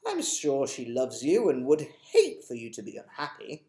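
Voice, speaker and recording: A man voicing a kind, polite, old-fashioned elderly gentleman tramp in a storybook reading. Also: medium pitch, wildly swooping pitch, normal speed, reverberant. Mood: gentle advice. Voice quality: genteel, proper old-fashioned manner.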